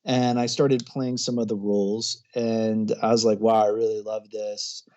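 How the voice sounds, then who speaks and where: A man's voice: fairly low pitch, level tone, measured pace, a little mellow. A man in his thirties, a filmmaker guest in a casual interview, chatty and often laughing as he talks. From grew up overseas, American parents.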